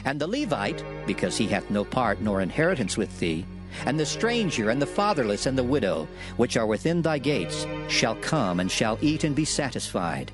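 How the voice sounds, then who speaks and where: A man giving a solemn, measured, declamatory reading of scripture in a dramatized production. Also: mid-range voice, big pitch swings. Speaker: scripture reader. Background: background music.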